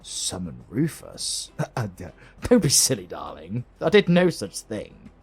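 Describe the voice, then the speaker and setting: A man's voice: on the low side, huge pitch swings, measured pace, darkish tone, slightly rough, faint music behind, exaggerated voice. A British man playing a retired supervillain husband in a romantic comedy roleplay, smug, doting and flirty, with theatrical teasing. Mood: playful, sheepish. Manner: theatrical, teasing, cooing endearments.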